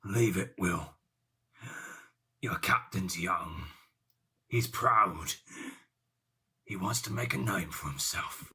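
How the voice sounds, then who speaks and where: Deep, slow old captain. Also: male voice, bright, forceful tone, husky, exaggerated voice. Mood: weary, sorrowful. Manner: slow, dramatic, swooping delivery. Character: dreary old legendary male pirate captain, sentimental and proud. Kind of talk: theatrical audio play acting.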